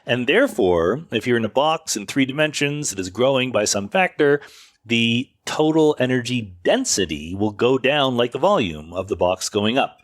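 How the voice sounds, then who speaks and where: A man's voice: medium pitch, expressive, normal speed, no echo, studio quality. A man hosting a podcast, explaining things in an informal, conversational monologue and correcting himself as he goes. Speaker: podcast host. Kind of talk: informal explanatory monologue answering listener questions. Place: makeshift recording setup away from home studio.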